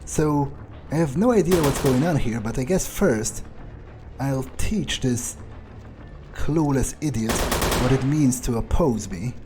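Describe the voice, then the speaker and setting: A man voicing an arrogant, brooding vampire in a game playthrough, musing aloud in a halting, profane way. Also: medium pitch, expressive, noisy background, lightly acted. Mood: puzzled musing. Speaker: gaming YouTuber. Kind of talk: in-character game playthrough monologue, thinking aloud.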